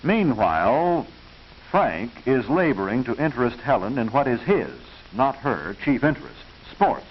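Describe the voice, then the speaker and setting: An American man reading scripted narration for a 1940s educational film, instructive and proper, with measured enunciation. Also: medium pitch, very animated. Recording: hissy, muffled 1940s film soundtrack.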